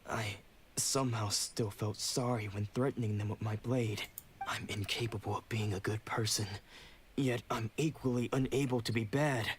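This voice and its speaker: A man voicing a troubled young swordsman in a game, sombre and measured in tone. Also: on the low side, steady tone, slight background noise, lightly acted. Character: troubled young male swordsman, weary and regretful.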